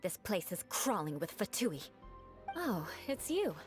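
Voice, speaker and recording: A woman's voice: medium pitch, sing-song, unhurried, faint music behind. An actress playing a cool, aloof young female knight with a dry manner. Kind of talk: video game voice acting.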